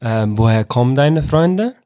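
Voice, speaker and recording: A man's voice: medium pitch, animated, a little slow, mellow, no room sound, studio quality. A man from Iraq interviewing young people in a casual way, often restating his questions in other words. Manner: informal, rephrases his questions several ways.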